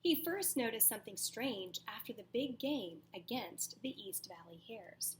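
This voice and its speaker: Woman teacher, slow pace, expressive storybook reading, dramatic emphasis, slightly high voice, some background noise. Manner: dramatic pauses, emphatic, questions to young listeners. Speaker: teacher reading aloud to children. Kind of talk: expressive storybook read-aloud with asides.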